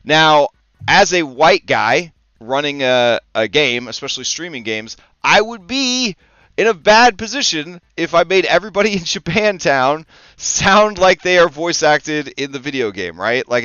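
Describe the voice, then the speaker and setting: Excitable man, crisp dry voice. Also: a little high, moderate pace, no room sound, lo-fi sound. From Italy. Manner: excitable, swears casually, many restarts. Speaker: podcast host. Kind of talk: casual chat about tabletop games.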